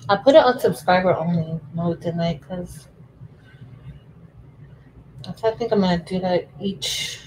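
A woman's voice: mid-range voice, warm tone, slight background noise, poor audio. A woman in her thirties hosting a casual craft livestream from home, chatting with viewers while she works. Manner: chatty, addresses viewers as y'all, frequent laughs. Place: indoors at a home craft table.

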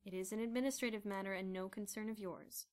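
A woman's voice: medium pitch, fairly even intonation, quick pace, lightly acted. A woman voicing a stubborn older male headmaster who answers in a curt, firm, irritated way.

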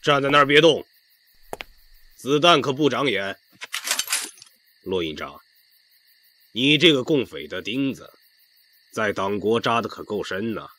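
A man playing a menacing, sneering army officer who talks in slow taunts, in a radio drama. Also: mid-range voice, big pitch swings, bright, forceful tone, slight background noise. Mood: menacing, taunting. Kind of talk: Chinese fan radio drama acting.

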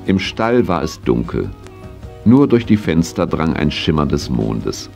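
An actor narrating a children's horse story as storyteller in a German audio drama. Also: male voice, very deep voice, sing-song, unhurried, vocal fry, over music, exaggerated voice. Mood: hushed, amused.

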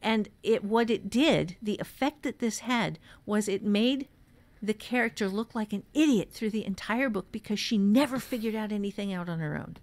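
A woman's voice: medium pitch, moderate pace, a little mellow. Woman, mid-range voice, podcast co-host, conversational, explanatory, emphatic teaching manner. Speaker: podcast co-host and novelist giving writing advice. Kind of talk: conversational writing advice.

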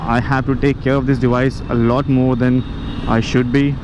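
A man's voice: mid-range voice, little pitch movement, normal speed, lo-fi sound. A man with an Indian accent giving a casual, rambling gear review as a motorcycle vlogger, often repeating and rephrasing himself. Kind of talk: casual spoken product review while riding. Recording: outdoor traffic and vehicle noise.

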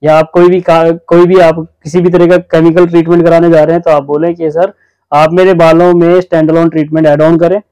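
A man's voice: on the high side, little pitch movement, a little fast, mellow, clean tone, dry recording, low-quality recording. A young man hosting a hair-care vlog, earnestly explaining a salon treatment to camera while gesturing, recorded on a lapel mic in a small studio. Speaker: hair stylist host. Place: small indoor studio, plain backdrop.

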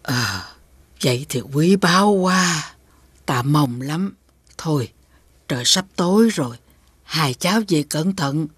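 A woman voicing a kindly, doting old grandmother in a cartoon, with a low husky voice. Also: animated, slow pace, long pauses, no room sound, exaggerated voice. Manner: warm, doting speech.

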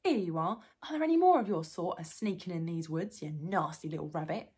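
A woman voicing a big, hungry, bad-tempered male troll with rough rustic slang, in a storybook reading. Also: fairly low pitch, animated, fairly quick, a little mellow. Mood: suspicious, menacing.

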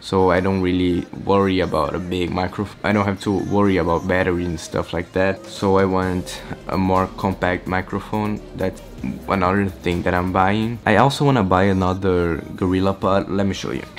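A teenage boy from Brazil, Portuguese native, chatting excitedly in a casual vlog update from his small bedroom, rambling and restarting often. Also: low-pitched, moderate pace, soft background music. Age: teens. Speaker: vlogger. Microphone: camera-mounted shotgun mic.

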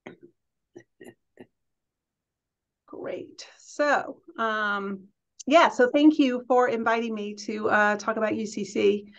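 A woman's voice: fairly high pitch, fairly even intonation, slow pace, pauses often. A middle-aged woman from England, a college president speaking as a guest on a video call, in a friendly, chatty way with many ums and restarts. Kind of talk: casual talk on a video call. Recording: dull lo-fi video call audio.

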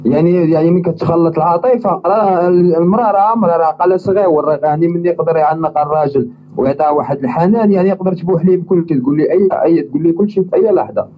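A Moroccan man speaks quickly over a dull phone line with a faint hiss, his voice slightly high and mellow. He lectures and keeps circling back with "ya'ni". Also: far from the mic. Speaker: caller. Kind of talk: phone conversation.

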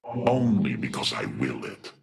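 A man voicing a cruel, arrogant alien warlord in a video game, gravelly, imperious and full of contempt. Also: extremely low pitch, very animated, measured pace, over a noisy crowd, full character voice. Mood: disdainful. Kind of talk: theatrical video game voice acting.

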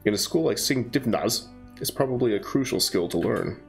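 A man's voice: medium pitch, expressive, moderate pace, creaky, faint music behind. A young man on a headset mic reading a visual novel aloud, joking and stumbling through lines, with casual asides. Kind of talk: casual let's-play narration.

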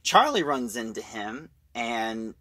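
A man giving a casual, halting recap of a story as a reviewer, in an informal way. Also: medium pitch, very animated, sharp tone, dry recording.

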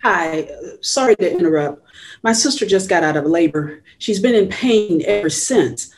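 A woman's voice: fairly low pitch, animated, normal speed, poor audio. A woman playing an anxious, polite sister in a script table read.

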